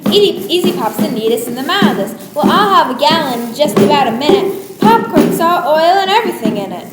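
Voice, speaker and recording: A woman's voice: high voice, very animated, fairly quick, very noisy. A woman playing a cool, slangy young hipster in a jazzy radio commercial. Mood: excited. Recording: roomy, with loud music. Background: loud music.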